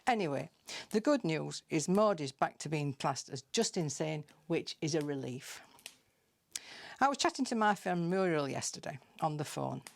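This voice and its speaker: Low-pitched British woman telling comic tales. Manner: dry, conversational, confiding. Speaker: storyteller reading own comic tales. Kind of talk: scripted comic monologue, chatty gossip.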